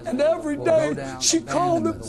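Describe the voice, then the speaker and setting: A woman's voice: extremely high pitch, little pitch movement, moderate pace. A woman giving impassioned testimony, with insistent, emotional repetition. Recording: crowd noise behind the voice.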